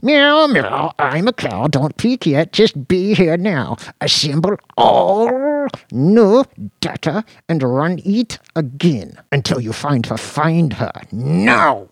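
A scrawny, petulant detective squeals in a high, whiny register that swoops wildly up and down. His lines come in a sing-song, childish chant. The sound is dry and close. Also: very animated, takes it slowly, no echo, exaggerated voice. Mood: shrill, frantic.

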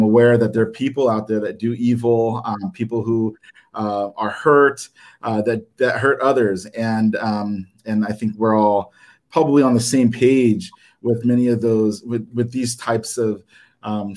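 A man in his thirties, whose first language was Japanese, gives a personal testimony to his church. He speaks in a halting, earnest way, with frequent "um"s and restarts. His nerves show in his delivery, and his voice sits at an ordinary middle pitch for a man. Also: steady tone, darkish tone. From United States. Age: 30s. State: nervous. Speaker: church member giving a talk.

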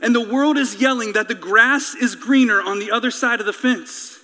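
Guy preaching with real passion, high bright voice, repeats phrases for punch, big reverby hall. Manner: emphatic, rhetorical, repetitive cadence. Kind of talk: impassioned sermon with repeated phrases, closing in prayer.

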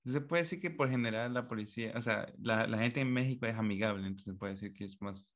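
A man's voice: on the low side, fast. A man hosting a casual conversation, rambling and offering frequent backchannels.

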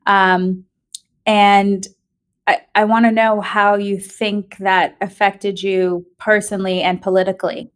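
A woman from South Africa and the United States, hosting a podcast, reading a measured introduction and asking questions. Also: medium pitch, level tone, clean tone, no room sound, clean studio sound. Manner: measured, with hesitant ums when asking questions. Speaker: podcast host. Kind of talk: scripted introduction and interview questions.

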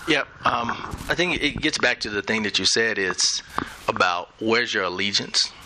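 A middle-aged man speaking as a guest in a live onstage conversation, measured and thoughtful with light laughs. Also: on the low side, background noise. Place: event center stage.

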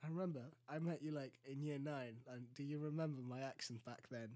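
Soft-trailing Greek-accented young man. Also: fairly even intonation, brisk, dry recording. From Greece. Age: teens. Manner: rambling, laughing storyteller, voice often drops low and soft. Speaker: podcast co-host. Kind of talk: casual chat.

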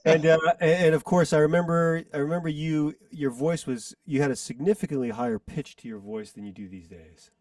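A man in his forties, a musician guest in a casual interview, reminiscing in a rambling way with pauses to recall details. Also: on the high side, a little mellow, no room sound. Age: 40s. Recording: distant dry mic.

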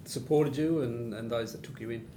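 A man's voice: medium pitch, normal speed, dark tone, slight background noise. A man interviewing a guest in casual chat, giving brief encouraging back-channels between his questions.

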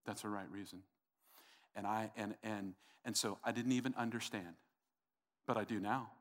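A man's voice: on the low side, fairly even intonation, moderate pace. A male pastor preaching a sermon in an earnest, repetitive way, speaking directly to his congregation. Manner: earnest, repeats himself, talks to the congregation.

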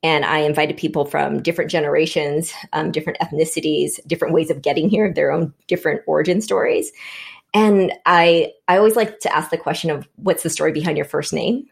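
A woman born in Vietnam speaks on a panel with a low voice and a gravelly edge. She thinks aloud, often restarting her phrases. The talk is relaxed and conversational. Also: brisk. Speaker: panel guest.

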